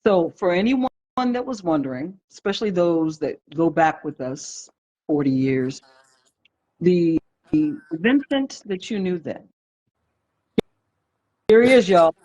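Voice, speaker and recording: A woman's voice: fairly low pitch, big pitch swings, takes it slowly, pauses often, warm tone. A woman in her fifties hosting a live stream chat, heartfelt and reflective, greeting viewers by name as she talks. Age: 50s. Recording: dull lo-fi livestream audio.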